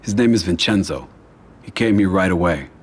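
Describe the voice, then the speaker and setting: An actor playing a cold, controlled mafia don in a video game, grave and measured, with an American accent. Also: male voice, deep voice, lively intonation, slightly rough. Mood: calm, commanding. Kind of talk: video game cutscene acting.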